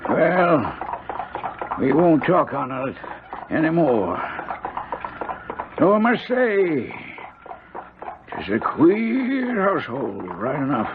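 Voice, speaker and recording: Gabby older rustic driver, gravelly voice, old-fashioned rambling speech, radio drama, thin narrow-band sound. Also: male voice, on the high side, huge pitch swings, slow pace, long pauses, heavy background noise, full character voice. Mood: apologetic. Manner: folksy, rambling, old-fashioned rural turns of phrase. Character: chatty older male hired driver, folksy and superstitious. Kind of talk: 1970s radio drama acting.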